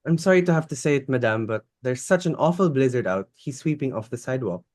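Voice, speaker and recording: A man's voice: mid-range voice, animated, fast talker, darkish tone, lightly acted. A deferential butler, acted by a man in a stage play reading. His voice is mid-range, and he speaks with formal politeness, attentive to the mistress of the house. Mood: polite, apologetic.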